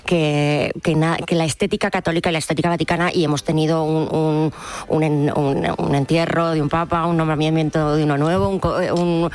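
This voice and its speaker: A woman's voice: sits low, flat delivery, brisk, a little mellow. A woman from gives conversational radio commentary, often repeating and rephrasing as she goes.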